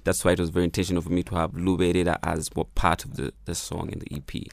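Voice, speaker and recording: Deep gravelly Kenyan-raised man, reflective. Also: level tone, quick pace, no room sound. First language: Swahili. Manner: reflective, many fillers and restarts, laughs easily. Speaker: musician guest. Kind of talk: casual interview.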